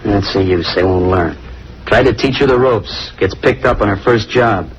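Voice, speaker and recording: Noisy vintage radio drama, lowish actor as male crook, surly, cynical, slangy, wisecracking. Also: moderate pace, lo-fi sound, natural voice. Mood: scornful. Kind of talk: old-time radio crime drama acting.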